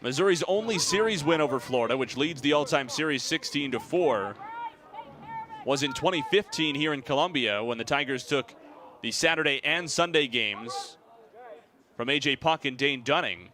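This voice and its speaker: A young American man doing live sports play-by-play, describing the action in crisp detail over venue noise. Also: medium pitch, animated, moderate pace. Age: young adult. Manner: crisp detailed descriptions, voice raised on big plays. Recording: live game ambience, clear background noise. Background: sports venue sounds.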